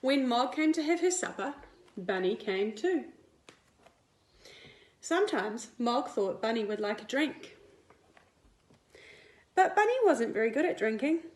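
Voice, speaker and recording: A woman, a teacher reading a picture book aloud to young children in an expressive, deliberate way. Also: on the high side. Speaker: primary school teacher. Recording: roomy indoor echo.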